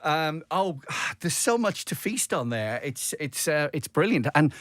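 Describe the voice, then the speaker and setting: Guy radio host, bit higher pitched, chatty effusive interview style, really dry close mic. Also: sing-song, measured pace, fairly bright tone, no room sound. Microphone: close studio radio microphone.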